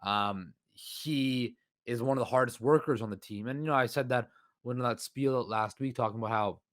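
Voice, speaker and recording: A man hosting a solo sports podcast, with a hoarse voice and a rambling delivery full of fillers and restarts. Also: medium pitch, normal speed, no room sound, studio quality. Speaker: podcast host.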